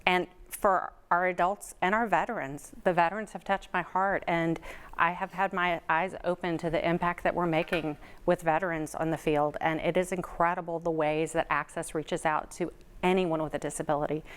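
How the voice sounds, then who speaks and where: A woman's voice: lowish voice, fairly even intonation, normal speed, smooth. A woman giving a heartfelt testimonial at a fundraiser, speaking earnestly and with emphasis. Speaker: board secretary and event chair.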